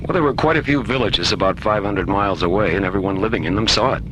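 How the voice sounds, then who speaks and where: A man's voice: fast, lightly acted. A man playing a bookish spaceship crewman in a science-fiction radio drama, conversationally relishing a mystery tale. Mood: intrigued. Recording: rumbling vehicle noise throughout.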